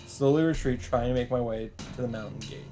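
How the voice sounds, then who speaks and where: A man's voice: mid-range voice, a little fast, dark tone. A man doing a dramatic read-aloud as host of a visual novel playthrough. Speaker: let's play host reading aloud. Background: faint game music.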